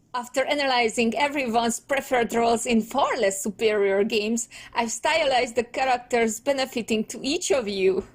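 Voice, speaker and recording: Woman voicing a pompous teenage turtle boy inventor, slow-talking. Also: on the high side. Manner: pompous, wordy, theatrical. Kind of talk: cartoon comic dub acting.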